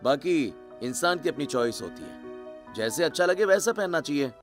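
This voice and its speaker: A man's voice: a little high, lively intonation, normal speed, music in the background, natural voice. A man voicing a caring, easygoing young husband in cartoon story dialogue, casual and reassuring.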